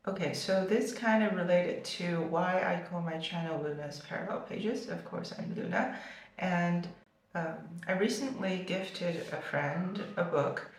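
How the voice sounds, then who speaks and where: Echoey, distant young woman chatting about books. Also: lowish voice. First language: Chinese. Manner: chatty with many fillers and restarts. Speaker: booktuber. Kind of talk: casual vlog. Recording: very echoey room, far from the mic.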